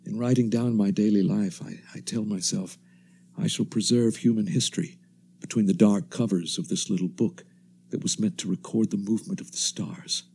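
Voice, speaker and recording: An actor playing a haunted, weary professor and lone survivor, in a hushed, halting radio monologue. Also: male voice, lowish voice, fairly even intonation, vocal fry, husky. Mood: weary, watchful. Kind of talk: dramatic first-person radio monologue.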